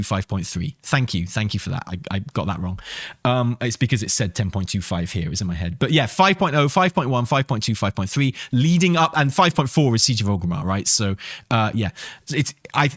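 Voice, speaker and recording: A man's voice: fairly high pitch, a bit bright, no room sound, studio quality. A man giving casual, enthusiastic gaming commentary, thinking aloud in an emphatic, conversational way and repeating himself for emphasis. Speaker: video game commentator and host.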